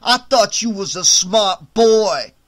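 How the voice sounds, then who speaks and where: A man acts out a bullying hotel supervisor who snarls threats at his staff. The voice sits high and hard-edged, and every line is pure contempt. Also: measured pace, a bit bright. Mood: menacing. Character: menacing brutish male hotel supervisor, bullying.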